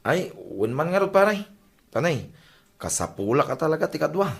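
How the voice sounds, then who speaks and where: A man's voice: a little high, big pitch swings, moderate pace, vocal fry. Man acting sheepish Ilocano village husband. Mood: casual, friendly. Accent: Filipino, Ilocano. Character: sheepish, evasive village husband who drinks too much. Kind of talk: comedy radio drama acting.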